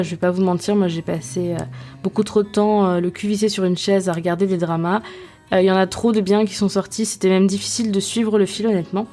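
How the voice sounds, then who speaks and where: Young woman podcast host, mellow tone, chatty and self-mocking, faint music behind. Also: on the low side. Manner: chatty, self-mocking, many fillers and restarts. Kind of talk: casual podcast review.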